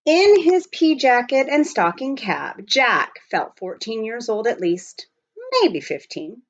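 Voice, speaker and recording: A woman reading a children's novel aloud as scripted narration, with expressive storytelling. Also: fairly high pitch, slow pace, dull audio. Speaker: audiobook reader.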